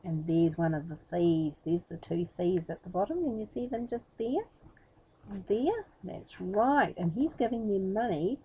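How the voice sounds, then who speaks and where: A woman tells a children's story in a mellow voice with very varied intonation. She is expressive and speaks straight to her young listeners. Faint room noise sits behind her. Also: mid-range voice, a little slow, low-quality recording. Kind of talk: children's storybook reading with chatty asides.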